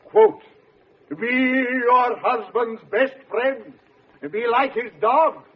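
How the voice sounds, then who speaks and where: An actor playing a pompous, self-promoting professor lecturing in declamatory style in an old-time radio comedy. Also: male voice, very high-pitched, extremely slow, breathy edge, heavily acted. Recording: muffled, noisy old radio recording, audience.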